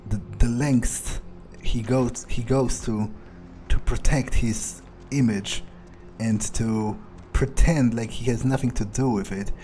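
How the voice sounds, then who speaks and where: Mellow, unhurried man playing in character. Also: medium pitch, steady tone, a little hiss or hum. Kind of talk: in-character video game playthrough commentary.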